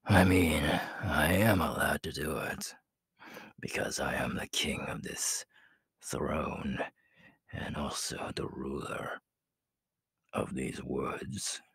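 A man voicing a cocky, possessive, cruel young werewolf king in an ASMR audio roleplay, husky and breathy, often dropping to a whisper, with a teasing, menacing manner. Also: rumbling low, a bit bright, no echo, full character voice. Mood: cruel, amused. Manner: slow, teasing, menacing drawl.

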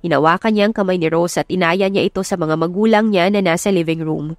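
A woman's voice: on the low side, fairly even intonation, quick pace, dry recording, studio quality. A woman narrating a scripted romance story in a dramatic audiobook style. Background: music.

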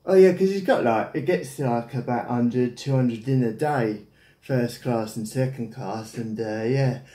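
Mellow, rambling English man in echoey room. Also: mid-range voice, measured pace. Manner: hesitant, rambling. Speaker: prank caller. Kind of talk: casual phone chat. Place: small domestic room, indoors. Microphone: camera at about arm's length.

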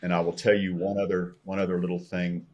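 An older American man with a very low voice and a rough, husky edge. He shares a personal story as a podcast guest, earnest and a little halting. He speaks from a room at home over a video call. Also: little pitch movement, darkish tone, highs cut off. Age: older, around 60. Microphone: video call, computer microphone at desk distance.

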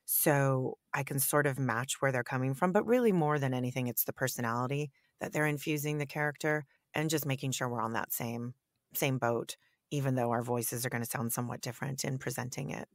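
A woman who narrates audiobooks, with a deep, raspy voice, chatting enthusiastically in an interview and often saying "you know.". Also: fairly quick, dry recording, studio quality. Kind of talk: conversational interview answers.

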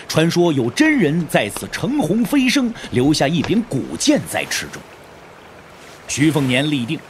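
A man's voice: fairly high pitch, a little hiss or hum. A man narrating a martial arts audio drama in a dramatic, scripted storytelling style.

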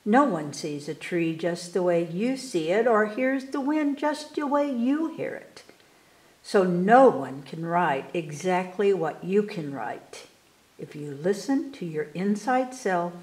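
A woman reading children's poems aloud at storytime, expressively and with careful, animated delivery for young listeners. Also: lowish voice, reverberant. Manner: animated, unhurried, enunciating for children. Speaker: library storytime reader. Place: indoors in a room, book filmed on table.

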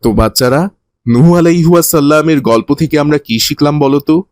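A man's voice: on the high side, a little fast, a little mellow, no room sound, lightly acted. A man playing a kindly, didactic teacher who tells moral religious stories to children in a cartoon. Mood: earnest, instructive. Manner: didactic, earnest.

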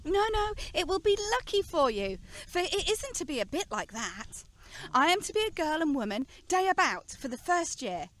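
A woman's voice: high voice, sing-song, normal speed, fairly bright tone. A woman playing a playful, affectionate teenage daughter in a radio play, teasing and coaxing in a girlish way. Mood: eager, reassuring.

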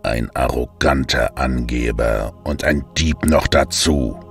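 A man voicing a sulking, bitter male god of the underworld, gruff and scornful, with music behind. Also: a bass voice, slow pace, voice pushed down, full character voice.

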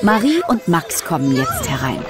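A woman's voice: low voice, sing-song, moderate pace, over a noisy crowd. A woman narrating a scripted children's audio story.

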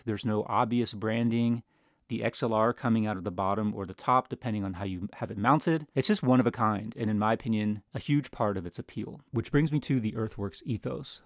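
A man's voice: medium pitch, no room sound. A man in his thirties explaining a product to camera as a tech reviewer, recorded close on a broadcast microphone. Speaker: tech reviewer, video host. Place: small home studio room, indoors. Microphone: close broadcast microphone on desk stand.

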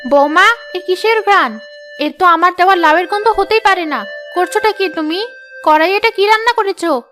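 A woman voicing a bossy, excitable middle-aged aunt in a cartoon, emphatic and quick to find fault. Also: extremely high pitch, faint music behind. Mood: suspicious, bossy. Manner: scolding, emphatic. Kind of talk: cartoon story voice acting.

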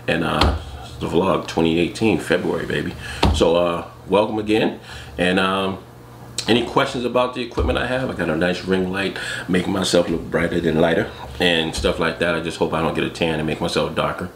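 A man in his forties with a very low, deep voice chats casually to the camera from a room at home. He keeps it relaxed and throws in jokey asides. The room sounds echoey, with clear noise in the background. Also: fairly quick. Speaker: vlogger. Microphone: external mic on top of the camera.

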